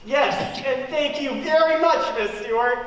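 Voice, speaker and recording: Male actor as young innocent fugitive, earnest, radio drama style, lighter high register, distant hall recording. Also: unhurried. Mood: indignant. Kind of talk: old-time radio play acting. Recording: echoey hall, far from mic, noisy.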